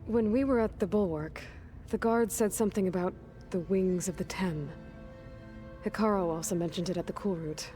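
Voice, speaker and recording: A woman's voice: on the low side, normal speed, dark tone, faint music behind, close to an everyday voice. An actress playing an earnest young woman warrior in a video game, direct and plain-spoken. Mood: matter-of-fact. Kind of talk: naturalistic video game acting.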